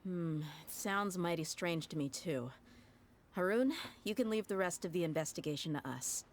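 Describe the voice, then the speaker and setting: A woman voicing a low-voiced warrior woman. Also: expressive, normal speed, close to an everyday voice. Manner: blunt and self-assured. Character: tough, confident female mercenary warrior. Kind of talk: game voice acting. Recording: game audio with music behind.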